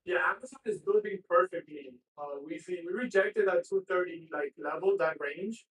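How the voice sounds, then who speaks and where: A man's voice: high voice, normal speed, fairly bright tone, roomy sound, recorded from a distance, rough recording. A man giving casual market commentary on a trading stream, dropping dry sarcastic remarks.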